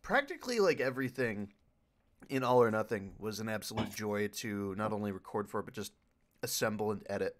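A man's voice: lowish voice, animated, brisk. A man chatting casually as a panelist and editor answering questions.

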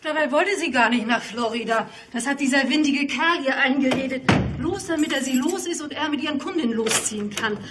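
A woman's voice: sits high, lively intonation, fairly quick, heavy background noise. A woman playing a nosy, indignant married neighbour who gossips insistently in a radio drama. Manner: chatty, confiding, insistent gossip.

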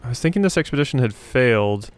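Man with a clear, smooth voice. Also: mid-range voice, lively intonation, fast talker, dry recording. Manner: thinks aloud, talking to himself and the game. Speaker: gaming video host. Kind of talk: casual let's play commentary, reading game notes aloud.

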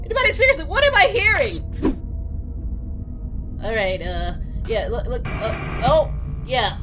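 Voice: medium pitch, wildly swooping pitch, measured pace, over music. A performer voicing a boastful but easily frightened cartoon anime hero, whiny and stammering, in a comedic reaction video. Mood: nervous hosting. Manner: whiny, frantic, stammering, prone to shrieking. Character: cartoon anime hero, jumpy scaredy-cat, boastful yet panicky.

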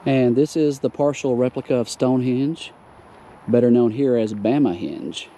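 A man narrates his tour in an easygoing, relaxed manner. His voice is warm and mellow at a middling pitch. He is outdoors by a road, caught on a camera mic, with faint traffic noise behind him. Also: normal speed. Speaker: travel vlogger. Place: outdoors by a roadside.

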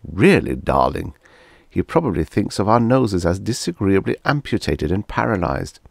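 An excitable middle-aged professor, as a male reader plays him, pompous and full of wonder. The pitch ranges widely over a creaky male voice, in storybook style. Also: normal speed, dry recording, clean studio sound, exaggerated voice. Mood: reassuring. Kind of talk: storybook character reading.

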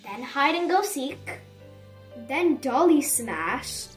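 A woman reading a children's storybook aloud in a dramatic, expressive and emphatic storytelling manner. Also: high-pitched, slow, distant mic, soft background music. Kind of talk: dramatic read-aloud narration for young children.

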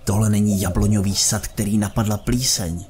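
A man's voice: fairly low pitch, steady tone, darkish tone, slight background noise, lightly acted. A man playing a stern senior paladin, an indignant mentor, in an amateur audio drama.

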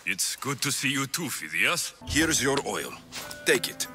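A man playing a gruff, dry-witted Greek mercenary warrior in a video game, deadpan and exasperated. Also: mid-range voice, measured pace, music in the background. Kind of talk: video game cutscene acting.

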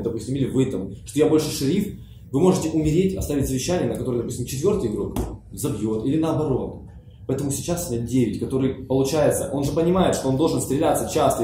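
A man's voice: medium pitch, mellow. A man in his thirties arguing his case as a player at a mafia game table, insistent and explaining step by step. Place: room with a round table, indoors. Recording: echoey room with hiss.

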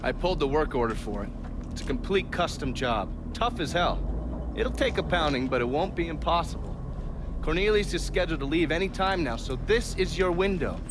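Casting: male actor as cynical thirties government fixer, slightly high voice, blunt, loud traffic noise, game cutscene. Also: animated, a little fast, natural voice. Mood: cynical, matter-of-fact. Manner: foul-mouthed, matter-of-fact briefing. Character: cynical, profane government agent briefing an ally. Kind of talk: video game cutscene acting. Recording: loud vehicle and street noise.

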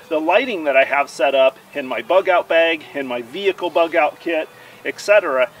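A man in his forties giving an enthusiastic, steady walkthrough of camping gear, recorded outdoors at a campsite. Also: on the high side, slight background noise, poor audio. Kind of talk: product review. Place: outdoors in a dry juniper woodland campsite.

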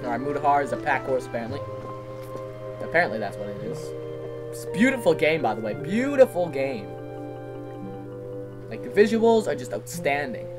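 Male gamer commentator, animated and self-mocking, game music under him. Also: fairly high pitch, normal speed, long pauses. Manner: rambling, self-deprecating, swears. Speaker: let's play commentator. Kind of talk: casual gameplay commentary.

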